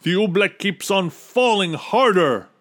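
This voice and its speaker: A man's voice: sits high, lively intonation, a little slow, a bit bright, pitched above the performer's own voice, exaggerated voice. A man voicing a proud, blustering but helpless old storybook king, with a pompous, groaning and bellowing delivery. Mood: groaning, desperate. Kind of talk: storybook character voice.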